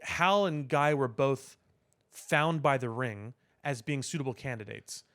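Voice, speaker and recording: A man's voice: medium pitch, unhurried, fairly bright tone. A man co-hosting a podcast, explaining his opinions in casual, opinionated talk about superhero films. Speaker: podcast co-host.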